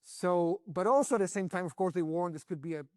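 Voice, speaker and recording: A man's voice: high voice, moderate pace, no room sound, studio quality. A man from Venezuela with a Venezuelan accent, a native Spanish speaker, giving political analysis as an interview guest.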